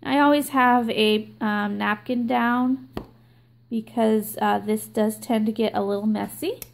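A young woman hosting her own nail art channel, explaining a stamping tutorial slowly and with many ums. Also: on the high side, steady tone, gravelly. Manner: slow, filler-heavy explaining with many ums. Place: indoors at a table, hands filmed from above.

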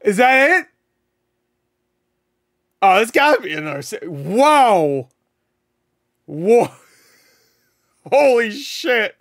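A man in his thirties reacting to a show from home on a headset mic, excitable, swearing and laughing, with his voice going hoarse. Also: sits high, drawn-out words, long pauses, no room sound, studio quality. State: losing his voice. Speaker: reaction video host. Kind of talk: casual reaction commentary. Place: home room, indoors.

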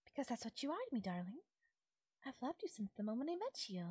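A woman's voice: on the high side, very animated, quick pace, darkish tone, slightly rough, no room sound, clean studio sound. A woman playing a possessive, doting fairy captor in an intimate ASMR audio roleplay, speaking sweetly and lilting, sometimes nearly whispering. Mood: sweet, coaxing.

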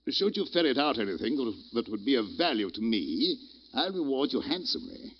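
An actor playing a flustered, pompous gentleman captain in a 1940s radio detective drama, stammering through old-fashioned phrases. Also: medium pitch, sing-song, brisk, slight background noise, natural voice. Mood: scheming, confiding. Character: fussy, blustering gentleman captain being blackmailed. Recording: muffled vintage 1940s radio recording.

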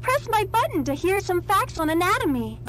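An actress playing a prim schoolteacher doll in a horror game, lecturing in an over-sweet, recited manner. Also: female voice, very high voice, sing-song, moderate pace, over music. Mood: chipper, instructive. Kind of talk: horror video game educational recording.

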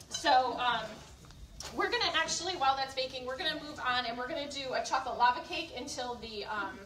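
A woman giving a live kitchenware cooking demonstration to a group, chatty and instructive, often checking in with questions. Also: on the high side. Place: indoor room at a live event. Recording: roomy, distant mic, faint background noise.

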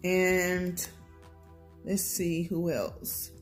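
An American woman vlogging casually at home, comparing prices in an unhurried, chatty way with lots of ums. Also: lowish voice, little pitch movement, soft background music. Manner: chatty, unhurried, many ums and self-corrections. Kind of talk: casual product comparison vlog. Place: home table, indoors.

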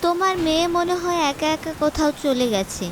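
Woman as a high-voiced cruel cartoon stepmother. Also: a little fast, a little hiss or hum. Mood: feigning innocence.

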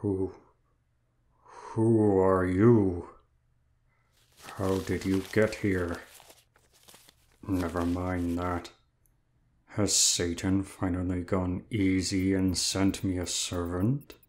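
A man's voice: low voice, little pitch movement, very slow, pauses often, darkish tone. A man playing a weary, self-pitying, lazy male demon in an audio drama, with a listless, mournful drawl. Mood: languidly curious.

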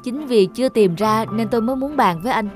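A woman's voice: fairly high pitch, fast talker, darkish tone, faint music behind, close to an everyday voice. A woman voicing a worried, weary wife and mother in a cartoon, earnest and concerned. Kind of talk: cartoon dubbing.

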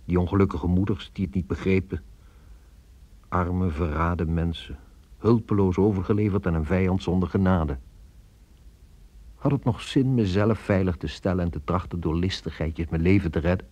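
An actor playing a weary, brooding businessman who narrates wartime memories in a reflective, measured way for a radio drama. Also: low-pitched, dark tone. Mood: brooding, bitter.

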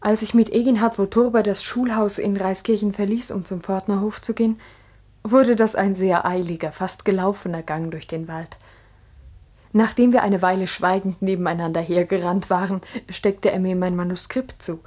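An actress playing a reflective schoolmistress who narrates a 1960s German radio play in a composed, literary way. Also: female voice, medium pitch, steady tone, moderate pace, a little mellow, lightly acted. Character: village schoolmistress recounting events, reflective.

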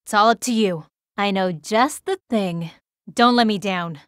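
A woman's voice: mid-range voice, a little slow, no room sound, studio quality, close to an everyday voice. A woman voicing a blunt, offhand young female fighter in a video game, casual and sardonic. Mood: wry, offhand. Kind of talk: short battle voice clips.

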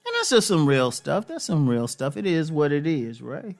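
A man's voice: a little high, wildly swooping pitch, moderate pace, vocal fry, faint music behind. A middle-aged American man giving casual advice straight to camera, conversational and emphatic, repeating phrases for emphasis. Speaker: relationship advice host. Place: living room, indoors.

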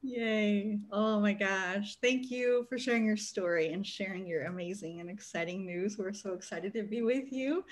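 A woman's voice: medium pitch, moderate pace, few pauses. A woman in her forties hosting an online panel from a small home room over a video call, speaking in an upbeat, effusive way. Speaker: host.

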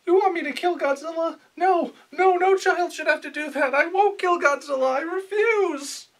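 A man's voice: very high-pitched, normal speed, fairly bright tone, pitched above the performer's own voice. A man voicing a whiny, overwrought, self-doubting little boy in an anime parody. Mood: anxious, refusing. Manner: whining, pleading, overwrought.

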